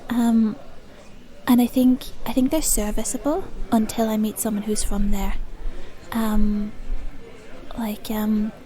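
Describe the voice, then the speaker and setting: A woman's voice: fairly high pitch, little pitch movement, slow pace, dark tone, rough recording. A woman from Dublin, chatting in a rambling, self-deprecating ASMR style, often dropping into a whisper. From Dublin, Ireland. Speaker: ASMR video creator. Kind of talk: ASMR, rambly casual chat.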